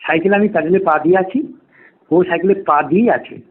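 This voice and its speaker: A man's voice: high voice, brisk, breathy edge. A man, telling a ghost story in the first person over a lo-fi call recording. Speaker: podcast guest, private tutor. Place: remote call to a podcast. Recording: dull, lo-fi phone call recording.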